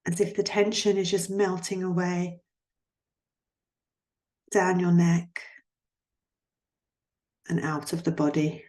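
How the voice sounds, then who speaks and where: A woman guiding a yoga nidra relaxation in a soothing, gently instructing manner, leaving long pauses between phrases. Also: lowish voice, flat delivery. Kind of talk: guided relaxation meditation.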